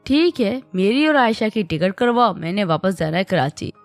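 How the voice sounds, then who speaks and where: A woman voicing a touchy, quick-tempered young wife who sulks and snaps. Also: mid-range voice, expressive, no echo, faint music behind. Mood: huffy. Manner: indignant, sulky.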